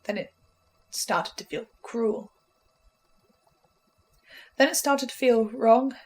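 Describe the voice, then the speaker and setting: A woman playing an anxious, brooding researcher, speaking a halting dramatic monologue with stammers and pauses. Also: fairly high pitch. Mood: troubled, self-doubting. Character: anxious female researcher with ominous knowledge, brooding and defiant. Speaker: host reviewing a fiction podcast in character. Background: music.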